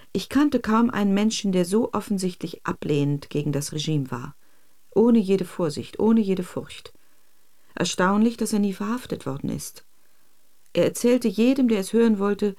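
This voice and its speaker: A woman playing a frank diarist, reading her entries in crisp, clipped phrases in a radio drama. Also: mid-range voice, mellow, close to an everyday voice. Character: frank, admiring woman diarist remembering a family friend.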